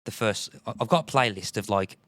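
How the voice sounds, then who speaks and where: A man hosting a music podcast in casual chat, his voice a bit croaky, rambling with frequent restarts. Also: on the low side, animated, brisk, a bit bright, no echo. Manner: rambling, restarts often, chuckles. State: slightly croaky voice. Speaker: podcast host.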